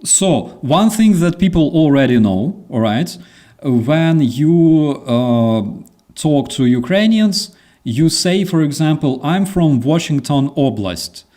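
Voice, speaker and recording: A man's voice: fairly high pitch, lively intonation, slow pace, warm tone. A man hosting a casual chat, talking animatedly and acting out quoted phrases with plenty of fillers. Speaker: host.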